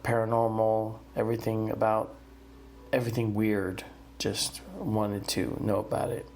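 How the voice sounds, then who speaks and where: A man's voice: fairly low pitch, slight background noise. A man in his fifties from the northeastern United States, whose first language was Italian, hosting a podcast and telling his life story in a rambling way with many fillers. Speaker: podcast host. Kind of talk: personal storytelling monologue.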